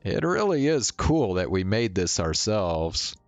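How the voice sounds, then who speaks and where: Visual novel read-aloud streamer: deep voice, unhurried and measured storybook narration, faint music underneath. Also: very animated. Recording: roomy sound, faint music behind. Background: faint game music.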